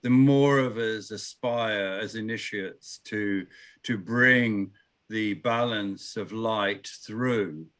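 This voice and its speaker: A man's voice: on the low side, takes it slowly, a bit bright. A man presenting, telling a story in a discussion after a talk, rambling and hesitant, with many fillers.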